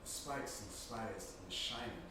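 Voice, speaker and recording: A man's voice: lowish voice, unhurried, natural voice. An actor playing an intense, restless young man, wry and poetic, in a theatrical monologue. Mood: dreamy. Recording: echoey room, far mic, noisy.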